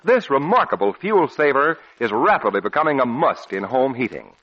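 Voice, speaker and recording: A man's voice: mid-range voice, sing-song, moderate pace. A man announcing a commercial in 1940s radio style, with a polished, persuasive sales delivery. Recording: muffled old radio broadcast recording.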